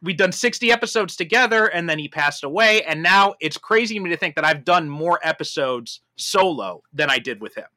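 A man with a bright, forward voice, pitched a little high. He hosts a podcast in casual conversation, recorded dry and close. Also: animated, fairly quick, few pauses, no echo. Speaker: podcast host.

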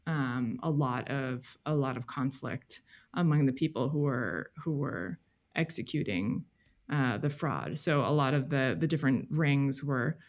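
A woman journalist speaking as an interview guest, explaining things in a measured, detailed, conversational way. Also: rumbling low. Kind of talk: conversational interview, recounting reporting.

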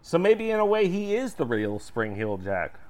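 A man's voice: fairly high pitch, very animated, moderate pace, darkish tone. A man co-hosting a podcast in casual chat, teasing his partner with playful questions. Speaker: podcast co-host.

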